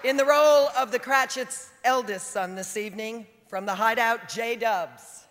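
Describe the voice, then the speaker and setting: A woman's voice: fairly high pitch, a little slow. A woman in her thirties at a podium mic on a large theater stage, introducing the cast and calling out names with emphasis. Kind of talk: live event announcing. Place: large theater stage before a big audience. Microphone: podium mic on stand. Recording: echoey hall, audience noise. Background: crowd cheering.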